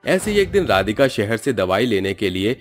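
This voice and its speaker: A man's voice: fairly low pitch, big pitch swings, moderate pace, faint music behind. A man narrating a scripted cartoon story as the storyteller.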